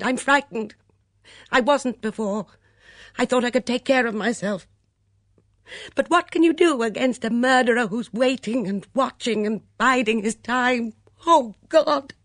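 A woman's voice: fairly low pitch, normal speed, no echo, clean studio sound, voice pushed up, lightly acted. Storybook lady of the house, voiced by a woman: middle-aged, English, anxious, weary, brittle and strained. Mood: panicked, desperate. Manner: strained, emotional.

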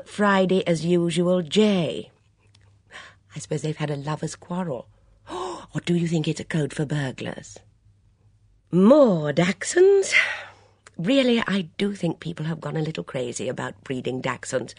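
Casting note: fussy, gossipy middle-aged mother, as read by a woman, lively sing-song delivery, husky tone. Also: lowish voice, dry recording, clean studio sound, voice pushed up, full character voice. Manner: prattling, disapproving asides.

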